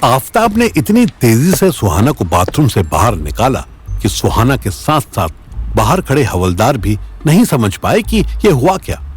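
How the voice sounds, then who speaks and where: A man's voice: medium pitch, huge pitch swings, a little slow, slightly rough. A man narrating a scripted audio drama in a dramatic storytelling style. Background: faint background music.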